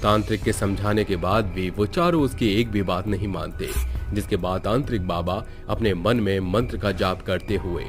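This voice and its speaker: A man's voice: deep voice, moderate pace, faint music behind. A man narrating a scripted horror story as a storyteller, in a dramatic style.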